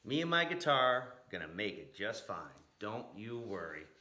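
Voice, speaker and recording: A man's voice: lowish voice, very animated, measured pace, pushed and bright, soft background music, heavily acted. A man voicing a proud, defiant young Southern bluesman in a storybook, firm and folksy. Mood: confident. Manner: folksy, firm, plain-spoken. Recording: echoey room.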